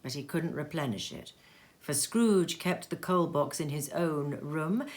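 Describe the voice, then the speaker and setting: A woman reading a classic story aloud at home in her sitting room, slow and expressive, sometimes dropping to a whisper. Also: low voice.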